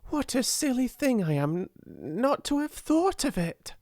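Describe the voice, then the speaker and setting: A woman voicing a kind, timid, slow-witted young schoolgirl in a storybook, earnest and halting, often repeating words. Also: mid-range voice, a little mellow, no echo, studio quality, pitched above the performer's own voice, natural voice. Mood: excited.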